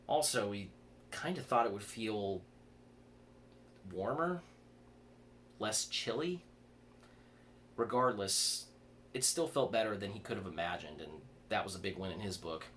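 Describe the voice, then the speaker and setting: A man's voice: on the low side, animated, unhurried, long pauses, a bit bright. A man reads a horror story aloud, occasionally stumbling and rereading a word. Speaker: author reading own fiction aloud. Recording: clear background noise.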